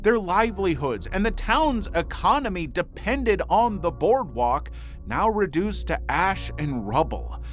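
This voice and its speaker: A man's voice: high-pitched, big pitch swings, a little slow. A man reading scripted documentary narration in a dramatic storytelling manner. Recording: voice over prominent background music. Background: music.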